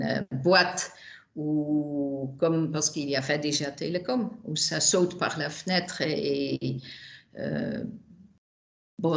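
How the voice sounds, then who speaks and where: A woman whose first language is not French, giving testimony on a video call, halting with many restarts and fillers. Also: low voice, gravelly. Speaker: witness, widow of a manager. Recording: echoey room on a video call.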